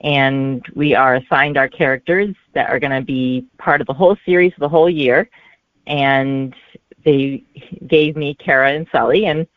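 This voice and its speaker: Halting low-voiced woman on a tinny line. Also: dry recording. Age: 40s. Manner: halting, hesitant delivery. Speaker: guest author. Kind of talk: casual interview answers. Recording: narrow, tinny phone-like line.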